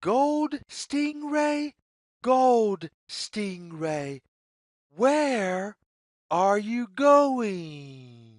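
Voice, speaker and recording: A woman reading a children's story aloud in a deliberate, singsong way, as if inviting young listeners to follow along. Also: a little high, big pitch swings, no echo, clean studio sound. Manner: deliberate, singsong, repetitive phrasing.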